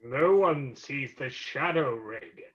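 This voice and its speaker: Unseen crime fighter, radio play: extremely slow, sharp, taunting menace, dull lo-fi sound. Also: male voice, mid-range voice, lively intonation. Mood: mocking, menacing. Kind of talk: old-time radio drama acting. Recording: dull lo-fi recording.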